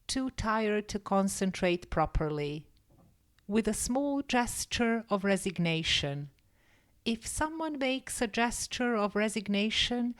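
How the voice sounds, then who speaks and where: A woman English teacher reading a scripted story and vocabulary lesson in a measured, careful, explanatory manner. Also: fairly high pitch, clean tone.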